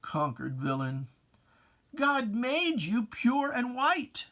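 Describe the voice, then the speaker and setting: Solemn reader doing dramatic religious poetry, really slow and drawn out, theatrical. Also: mid-range voice, very animated, fairly bright tone. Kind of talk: dramatic recitation of religious verse.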